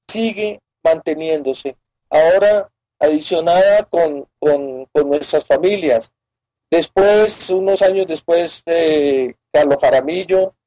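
A man's voice: a little high, warm tone, dry recording, rough recording. A man in his fifties speaks as a radio guest, reflecting thoughtfully and searching for his words.

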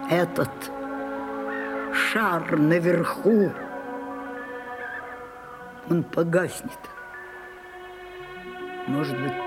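An older woman playing a proud, weary old French stage actress in a radio drama, declaiming broodingly and dropping into breathy whispers. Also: lowish voice. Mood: weary, bitter. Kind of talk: theatrical radio drama acting.

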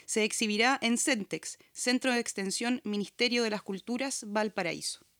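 A woman's voice: fairly high pitch, normal speed. A Chilean woman reading scripted announcements as a podcast host. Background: background music.

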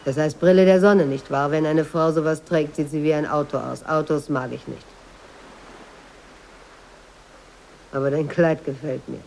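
A proud, self-possessed woman in a radio drama. Her voice is on the low side, and she speaks bluntly, with short, probing questions. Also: fairly even intonation, a little fast, vocal fry, darkish tone, a little hiss or hum, poor audio. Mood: teasing, blunt. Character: proud, blunt, inquisitive Moroccan woman seeking work.

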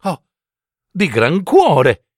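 A man's voice: fairly high pitch, huge pitch swings, extremely slow, no echo, full character voice. A courteous young peasant maiden from a storybook, acted out by a man. The voice is raised a little and swings widely, with effusive, grateful politeness. It sounds dry and close. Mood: eager, grateful.

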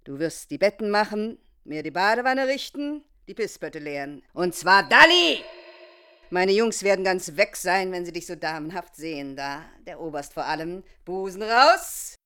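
A woman's voice: on the low side, animated, normal speed, sharp tone, clear, resonant tone. A woman playing a cruel, domineering madam turned despot, commanding and sneering in theatrical radio drama style. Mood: barking, commanding. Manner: commanding, sneering, barking orders.

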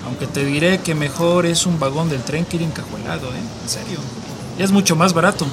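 An actor in a radio drama playing a hard-nosed, menacing Mexican migrant smuggler, talking bossy street slang in a northern Mexican accent. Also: male voice, fairly high pitch, a little fast, over music, lightly acted. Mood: businesslike.

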